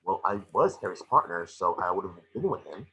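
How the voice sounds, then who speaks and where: A man's voice: deep voice, animated, a little fast, pushed and bright, slight background noise, full character voice. A man playing a small, cocky, wisecracking creature detective, snarky and bossy, in an amateur script reading.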